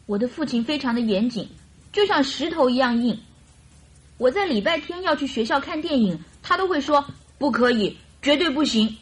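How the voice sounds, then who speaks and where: An actress playing a chatty, naive and carefree young bride in a radio drama, with girlish, animated complaining and coaxing. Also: high voice, normal speed, slightly rough, poor audio. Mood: exasperated, chatty.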